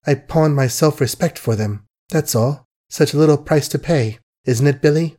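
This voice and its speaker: A man's voice: medium pitch, expressive, fairly quick, a little mellow, close to an everyday voice. A man voicing a shrewd, worldly society woman in an audiobook, with an earnest, emphatic and dramatic delivery. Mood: frantic confession. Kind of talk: audiobook character acting.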